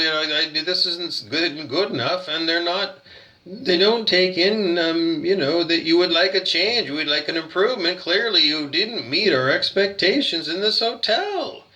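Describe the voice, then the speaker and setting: A man's voice: high voice, animated, normal speed, pushed and bright, muffled recording. Rambling, cursing Canadian man ranting. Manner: profane, meandering, frequent restarts and fillers. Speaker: hotel guest complaining. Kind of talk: rambling monologue rant.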